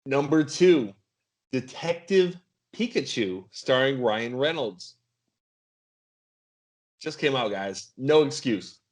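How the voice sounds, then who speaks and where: A man with a medium-pitched voice hosts a podcast game. He speaks casually, in the manner of an informal quizmaster. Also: lively intonation, takes it slowly, long pauses. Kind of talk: casual quiz hosting.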